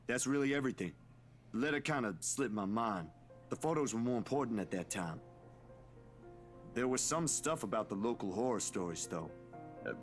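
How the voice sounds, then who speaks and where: A man acts out a skeptical young man from a visual novel, with a fairly low voice. He talks in loose, colloquial phrases and gets exasperated easily. Music plays clearly behind him. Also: lightly acted.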